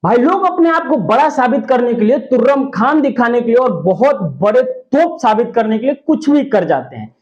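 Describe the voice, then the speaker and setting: A man's voice: extremely high pitch, big pitch swings, normal speed, hardly any pauses, a little mellow. A man giving opinionated, emphatic gossip commentary to his viewers, often repeating his points for stress. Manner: emphatic, repetitive, partisan. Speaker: YouTube commentator. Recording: dull lo-fi audio.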